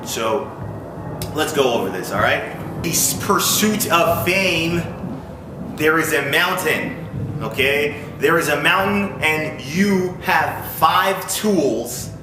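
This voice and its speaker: A man's voice: a little high, expressive, slow. A man giving an informal, instructive talk to viewers as a music business owner, often checking in with short questions. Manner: instructive, punctuated with check-in questions like "okay?" and "all right?". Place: music studio. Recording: roomy echo, music in background.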